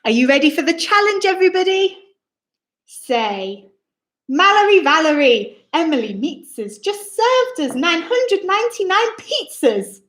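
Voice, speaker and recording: A woman's voice: very high-pitched, very animated, unhurried, a bit bright. A woman hosting a children's storytime, reading rhyming picture-book text aloud in a theatrical, exclaiming way and speaking directly to young listeners. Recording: roomy, echoing indoor sound.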